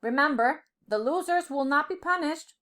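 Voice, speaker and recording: A woman's voice: high-pitched, moderate pace, fairly bright tone, voice pushed up. A woman voicing a proud, stern male parrot who rules the forest, speaking in a commanding, regal storybook manner. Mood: commanding, announcing. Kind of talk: storybook character voice.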